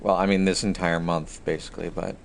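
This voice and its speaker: A man's voice: low voice, little pitch movement, a little fast. A man chatting casually with viewers and thinking aloud in rambling fashion as he streams a video game. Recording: clear background noise.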